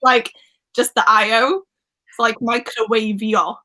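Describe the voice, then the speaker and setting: A woman's voice: a little high, steady tone, unhurried, sharp tone, rough recording. A young woman from Wales with a light Welsh accent, chatting on a video call as a book channel host, full of little laughs and fillers. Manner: chatty, giggly, lots of fillers. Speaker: booktube host. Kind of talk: casual chat on a live stream.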